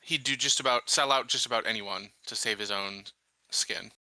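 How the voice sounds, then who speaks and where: Man, tabletop player, very forceful bright tone, casual improvised roleplay, dry sound. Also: mid-range voice, moderate pace, no room sound, low-quality recording.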